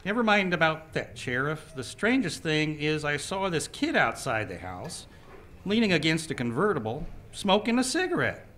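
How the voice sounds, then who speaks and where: A man's voice: a little high, very animated, normal speed, a bit bright, a little hiss or hum. A man voicing a nervous, lovestruck young man who gets flustered. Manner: flustered, eager.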